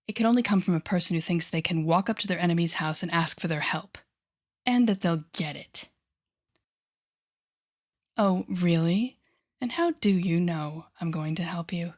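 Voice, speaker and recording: A woman playing a haughty, sardonic telepathic villain with a hidden soft side, speaking straight to the listener in an audio roleplay. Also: fairly low pitch, lightly acted. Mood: dismissive, haughty. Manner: sardonic, theatrical, teasing, with sighs and deliberate pauses. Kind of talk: intimate audio roleplay acting addressed to the listener.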